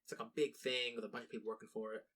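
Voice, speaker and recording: A young American man co-hosting a loose podcast conversation, voice in an ordinary middle pitch. He rambles, restarts his sentences and swears freely as he talks. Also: flat delivery, racing, fairly bright tone, a little hiss or hum. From United States. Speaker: podcast co-host. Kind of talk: casual chat.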